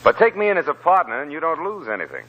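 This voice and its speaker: An actor playing a cool, bold stranger who talks directly and shrewdly in a 1940s radio drama. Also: male voice, on the high side, sing-song, quick pace, slightly rough, highs cut off. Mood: calm, persuasive. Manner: direct, calculating. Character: cool, bold male stranger bargaining with prospectors. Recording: old 1940s radio broadcast.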